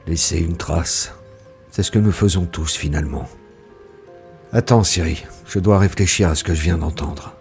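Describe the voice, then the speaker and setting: A very deep, brooding male narrator, played by a man who was human only for seconds. He speaks in a slow, pensive way over faint music. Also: vocal fry, darkish tone. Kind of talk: scripted audio drama narration.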